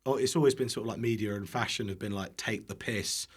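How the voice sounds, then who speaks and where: A man's voice: lowish voice, fairly even intonation, quick pace, slightly rough. A young British man telling anecdotes casually as a podcast guest, rambling, breaking off mid-sentence and acting out what people said. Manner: rambling, self-interrupting, acts out quotes. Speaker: podcast guest, online cook.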